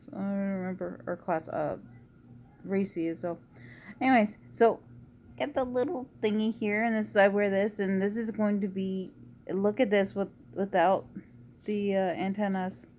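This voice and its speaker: A woman with a quiet, low voice chatting casually in a rambling home vlog. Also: steady tone, normal speed, slight background noise. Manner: rambling, soft-spoken, uses y'all. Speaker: vlogger. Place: at home indoors.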